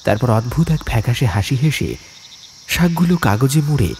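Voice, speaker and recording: A man's voice: mid-range voice, moderate pace, slight background noise. A man narrating a scripted ghost story as an audiobook, in a suspenseful, dramatic manner. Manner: suspenseful, expressive.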